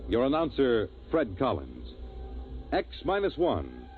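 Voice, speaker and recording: A man's voice: mid-range voice, huge pitch swings, slow, faint music behind. A man with an American accent making scripted announcements as a 1950s radio announcer. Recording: muffled, noisy old radio broadcast.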